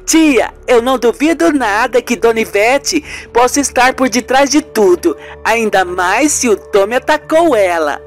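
Woman acting an outspoken, high-voiced young niece. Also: lively intonation, measured pace, hardly any pauses, soft background music. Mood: eager. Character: blunt, loyal young niece. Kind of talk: amateur radio soap acting.

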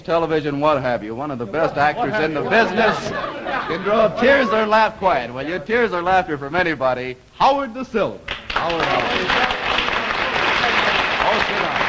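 A man's voice: a little high, rough recording. An American man in his thirties hosting a live 1950s television game show with ad-libbed introductions. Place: TV studio living-room set. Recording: 1950s TV broadcast, studio crowd noise.

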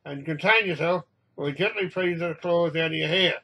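Storybook role: fed-up pet owner voiced by a man, scolding, plain-spoken, high and sharp. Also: moderate pace, poor audio. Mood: weary scolding.

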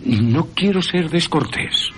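Man doing a baffled, sceptical young man, animated. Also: on the high side, slow pace, slight background noise, pitched above the performer's own voice. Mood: incredulous. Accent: Castilian Spanish. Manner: questioning, incredulous.

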